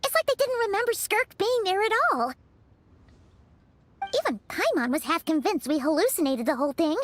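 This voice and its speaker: A woman voicing a tiny floating female companion sprite, chirpy and excitable, who talks about herself in the third person. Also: extremely high pitch, moderate pace. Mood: uneasy. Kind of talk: game voice acting.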